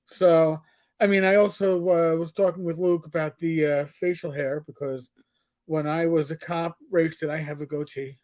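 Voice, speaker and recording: A man's voice: fairly high pitch, steady tone, moderate pace, a little mellow. A middle-aged man with a New York accent, co-hosting a casual livestream chat and rambling with many fillers and restarts. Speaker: podcast co-host.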